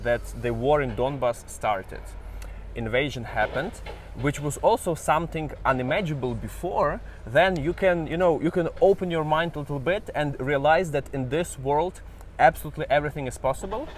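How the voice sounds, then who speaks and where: A man with a Ukrainian accent and a fairly high voice hosts a conversation outdoors, asking questions and sharing his own views. His English is fluent but sometimes non-native in its phrasing. Soft street traffic murmurs behind him. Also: moderate pace. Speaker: host. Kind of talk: casual interview.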